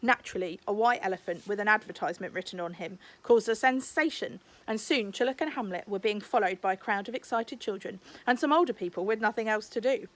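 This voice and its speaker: A woman's voice: medium pitch, brisk, few pauses. A woman narrating a children's storybook aloud, like a teacher reading to a class.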